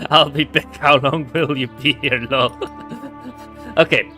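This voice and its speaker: A man's voice: a little high, fairly even intonation, slow, sharp tone, faint music behind. A man with a Dutch accent, chatting casually while streaming a game, giggly and hesitant with many ums. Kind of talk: casual gameplay commentary.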